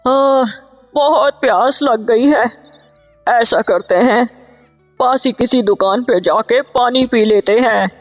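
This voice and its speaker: A woman acts out a greedy cartoon shopkeeper, a sly man always bargaining. The delivery is slow, in long reverb with music underneath. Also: a little high. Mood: gloating. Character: greedy, sly male shopkeeper buying animals.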